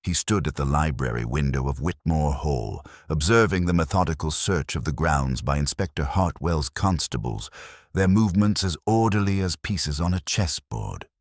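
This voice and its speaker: A man narrates a detective story in a steady, formal way. His voice is very deep yet lively in pitch. The recording is close and dry. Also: no room sound. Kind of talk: scripted mystery narration.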